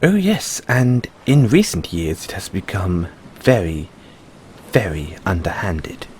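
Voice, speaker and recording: Man playing a low-voiced, expressive merman prince. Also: a little hiss or hum, exaggerated voice. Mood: guarded, earnest. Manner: formal, deliberate, measured phrasing with dramatic pauses. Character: proud, secretive merman prince, courteous but wary of humans. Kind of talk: scripted audio roleplay addressed to the listener.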